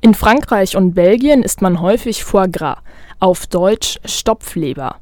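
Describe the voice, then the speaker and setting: A woman narrating a scripted radio feature as the reporter, in a calm, measured and clearly read delivery. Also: medium pitch, dry recording, studio quality.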